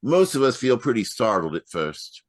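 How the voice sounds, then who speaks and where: An actor playing the kindly, reassuring ghost of a dead husband in an old-style radio mystery. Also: male voice, medium pitch, big pitch swings, moderate pace, no echo, lightly acted. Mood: gentle, reassuring. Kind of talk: 1940s radio mystery acting. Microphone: video call.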